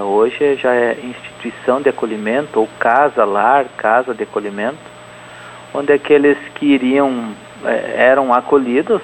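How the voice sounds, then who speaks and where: A man in his forties gives a radio interview, talking through his answers with many fillers and restarts. His voice sits at a middle pitch, with a faint hiss of noise behind it. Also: rough recording. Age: 40s. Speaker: interview guest, event organizer.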